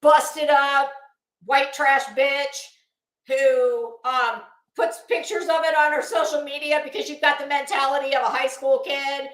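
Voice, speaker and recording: A woman's voice: high voice, fairly bright tone. A blunt, sweary woman ranting casually to viewers as host of a livestream, emphatic and often restarting her phrases. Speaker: host of a live commentary channel. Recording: echoey, roomy sound.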